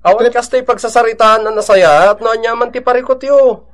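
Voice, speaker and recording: A man with an Ilocano accent playing a chatty, excitable drinking buddy in a radio drama. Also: very high-pitched, a little fast. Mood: wary, advising.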